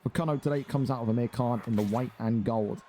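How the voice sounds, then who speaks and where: Man on commentary for an online boxing stream, warm low-key tone, chatty and playful with the viewers. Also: fast talker, soft background music. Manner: chatty, hype-building, teasing asides to chat. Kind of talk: live esports boxing commentary.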